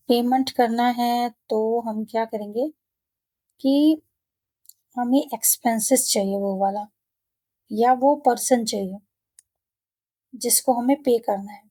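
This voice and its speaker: A woman giving an informal, step-by-step software tutorial in an instructional manner, often checking in with the learner. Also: on the high side, rough recording. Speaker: software trainer. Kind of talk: step-by-step screen tutorial, informal spoken explanation.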